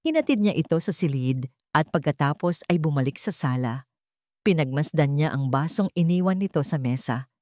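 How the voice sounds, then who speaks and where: Filipino woman storyteller, low-pitched and lively. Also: moderate pace, no echo, clean studio sound. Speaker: story narrator. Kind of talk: scripted dramatic storytelling narration.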